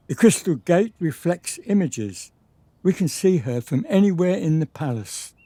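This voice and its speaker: Commanding radio drama gentleman, higher-pitched, dark mellow tone, formal, insistent. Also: no room sound. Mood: grave.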